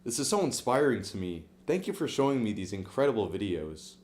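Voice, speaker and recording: A man's voice: on the low side, sing-song, brisk, darkish tone, faint music behind. A young man acting in a student skit as a friend who is wary of the gym, delivering his lines plainly and a little stiffly. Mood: reluctant.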